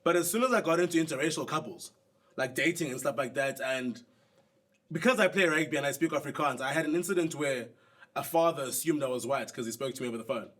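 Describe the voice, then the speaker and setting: A man's voice: on the high side, quick pace, fairly bright tone. A young South African man telling a personal story in a casual, slangy way. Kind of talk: documentary interview, telling a story.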